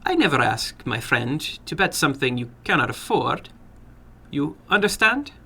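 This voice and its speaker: Casting: a man playing a sinister little foreign gambler for a horror story, raised pitch, soft, polite, coaxing, foreign accent. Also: very animated, creaky, slight background noise, full character voice. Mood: smoothly persuasive. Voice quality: soft, toneless. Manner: slow, courteous, coaxing, broken English. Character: funny little foreign gambler, soft-spoken, slyly menacing.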